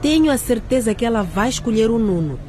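A woman's voice: on the high side, expressive, a little fast, a little mellow, slight background noise, close to an everyday voice. Woman playing a sly, taunting young schemer. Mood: cool, suspicious. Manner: taunting, sardonic. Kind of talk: radio drama acting.

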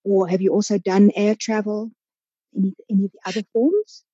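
Mellow woman podcast host. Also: medium pitch, steady tone, fairly quick, slightly rough, no room sound, muffled recording. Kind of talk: conversational interviewing.

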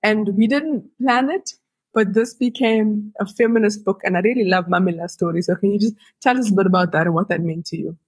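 Husky-voiced woman interviewing. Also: medium pitch, brisk, darkish tone, dry recording. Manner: reflective, builds long explanations before asking a question. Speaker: podcast interviewer. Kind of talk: conversational interview.

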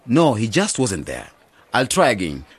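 Young idealist in a radio play, earnest, bit husky, lively pitch. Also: male voice, a little slow, exaggerated voice. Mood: curious. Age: young adult. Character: earnest, idealistic young man returning to his village. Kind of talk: radio drama acting.

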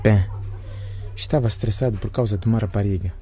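A man's voice: fairly low pitch, brisk, dark tone, faint music behind, lightly acted. A man playing a hesitant, stressed teenage boy in a radio drama, polite and a little awkward. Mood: hesitant, uneasy.

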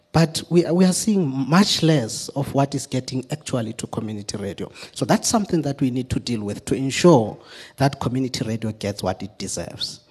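A man speaking off the cuff on a panel, earnest and deliberate, in stop-start phrases with repeated words. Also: medium pitch, darkish tone, husky. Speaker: community radio representative on a panel. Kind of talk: extemporaneous panel discussion remarks.

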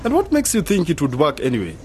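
A man's voice: on the high side, huge pitch swings, quick pace, darkish tone, a little hiss or hum. An actor playing an opinionated, sceptical young man in an educational radio drama, blunt and argumentative.

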